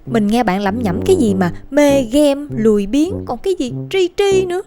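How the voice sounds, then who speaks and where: Sulky, high-voiced southern Vietnamese schoolboy. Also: female voice, animated, normal speed, crowd noise. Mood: accusing. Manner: grumbling, exclamatory. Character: sulky, game-obsessed schoolboy, skeptical and whiny. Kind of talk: animated cartoon dialogue. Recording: music clearly behind the voice.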